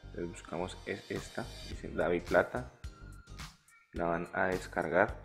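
This is a Colombian man with a fairly deep voice and a strong gravelly creak. He talks as a tutorial host, guiding listeners one step after another. Faint music plays behind his voice-over. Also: a little slow, fairly bright tone. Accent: Colombian Spanish. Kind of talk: step-by-step app tutorial narration.

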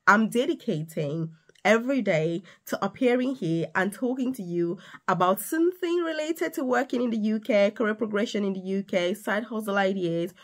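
A woman in her thirties talks straight into a close camera in a small indoor room, giving career advice in a casual vlog. Her delivery is animated and emphatic, and she keeps addressing the viewer directly. Her voice sits at an ordinary middle pitch. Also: normal speed. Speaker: vlogger, nurse and career coach.

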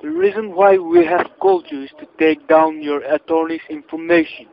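A man's voice: a little high, wildly swooping pitch, normal speed, vocal fry. A man reading a stern, officious phone script in stilted phrasing, as a call-centre agent. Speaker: scam caller posing as tax agent. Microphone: telephone line. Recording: dull, lo-fi phone call recording.

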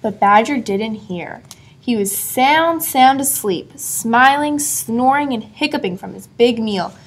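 A woman reading a children's story aloud expressively, pausing to put questions to a young listener. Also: a little high, expressive, husky. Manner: asks a child engaging questions. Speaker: storybook reader.

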